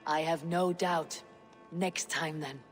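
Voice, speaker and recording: An actress playing a viking clan leader who speaks in a measured, authoritative way. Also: female voice, low voice, fairly even intonation. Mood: commanding. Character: female viking clan leader, calm and commanding. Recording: game cutscene over soft music.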